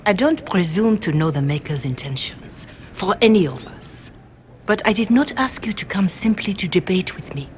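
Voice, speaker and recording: An actress voicing a calm, compassionate middle-aged religious Mother in a video game, with a measured, solemn delivery. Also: fairly low pitch, slight background noise, close to an everyday voice. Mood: grave, candid.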